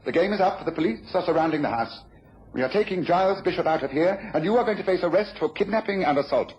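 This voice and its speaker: An actor playing a shrewd, commanding British detective in a mid-century radio drama, speaking precisely and briskly. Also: male voice, high voice, animated, darkish tone, slightly rough. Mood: commanding, urgent. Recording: muffled, noisy old radio recording.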